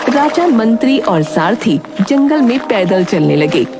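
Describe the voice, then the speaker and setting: A woman's voice: a little high, big pitch swings, unhurried. A woman reading scripted storybook narration for a cartoon tale. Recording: music behind the voice.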